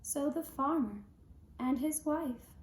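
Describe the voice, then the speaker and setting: A woman telling a scripted fairy tale for a puppet show in a gentle, deliberate, singsong manner. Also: high voice. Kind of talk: scripted fairy-tale narration with lullaby singing. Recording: echoey room with faint noise.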